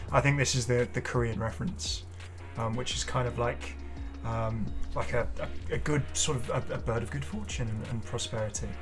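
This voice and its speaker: Male gaming creator, mid-pitched voice, casual commentary, effusive, rambling, music playing behind. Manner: enthusiastic, effusive. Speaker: gaming video creator and host. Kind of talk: casual game showcase commentary. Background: clear background music.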